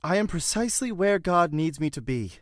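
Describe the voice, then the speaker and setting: An actor playing a pious, guilt-burdened prisoner in an audio drama, speaking in formal, measured phrases. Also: male voice, high voice, big pitch swings, dry recording, studio quality. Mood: grave, calm.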